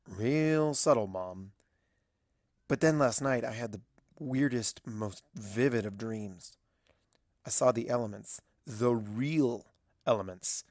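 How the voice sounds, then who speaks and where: A woman's voice: a bass voice, a little mellow, dry recording, full character voice. Woman as anxious, wry cartoon pony princess, close-miked. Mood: wry, uneasy. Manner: wry, fretful, dramatic first-person storytelling. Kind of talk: fan fiction audio reading in character.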